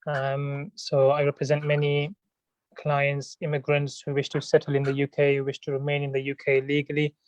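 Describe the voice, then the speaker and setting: A man who is an immigration solicitor, explaining politely and in a measured way as a radio guest. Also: on the high side, completely flat delivery, poor audio.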